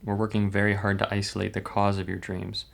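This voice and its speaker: A man playing a measured hospital doctor in a horror manga dub, with a reserved, clinical manner. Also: lowish voice, monotone, creaky. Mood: calm, clinical.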